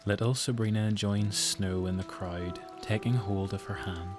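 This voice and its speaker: A man's voice: lowish voice, steady tone, unhurried, music in the background. A man with a Northern Irish accent reading scripted narration for an audio drama.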